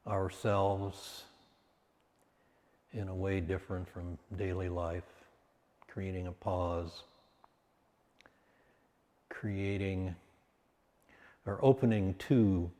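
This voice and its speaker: A man with a low, dark-toned voice guides a meditation in a soothing manner. He speaks very slowly and leaves long pauses between short phrases. His words carry a long, hall-like reverb. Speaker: meditation teacher.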